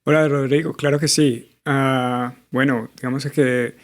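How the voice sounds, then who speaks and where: A man's voice: medium pitch, flat delivery, a little slow. A man in his thirties from Colombia with a Bogotá accent, answering interview questions thoughtfully with many small fillers. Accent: Colombian Spanish, Bogotá. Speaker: guest. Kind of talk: conversational interview.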